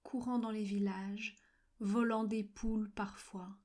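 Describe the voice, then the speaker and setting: A woman reciting literary poetry in measured, fragmented phrases with frequent pauses, at times breathy and near-whispered. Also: medium pitch, little pitch movement, a little mellow. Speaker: poetry reader. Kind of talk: slow literary poetry recitation for a video poem.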